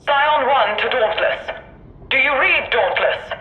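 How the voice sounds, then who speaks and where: An actress playing a brisk, dignified noblewoman pilot in a game cutscene, formal and composed. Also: high voice, reverberant, lo-fi sound. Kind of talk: dramatic game cutscene acting.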